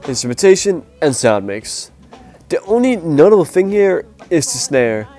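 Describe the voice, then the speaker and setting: A man's voice: on the high side, sing-song, moderate pace, dark tone, faint music behind. A man with a sick, nasal voice giving a blunt, opinionated casual review. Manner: blunt, opinionated, grades with scores. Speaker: music performance reviewer. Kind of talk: casual spoken video review.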